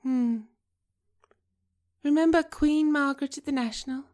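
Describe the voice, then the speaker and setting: Woman playing an arch, gushing middle-aged sophisticate, high-pitched. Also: measured pace, darkish tone. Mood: wistful, gushing. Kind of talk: dramatic reading of a screenplay.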